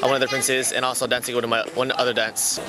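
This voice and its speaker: Quick-talking young man, crowd behind. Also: mid-range voice, little pitch movement. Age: young adult. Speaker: dance captain in a student show. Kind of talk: casual documentary interview. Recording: crowd and outdoor noise behind.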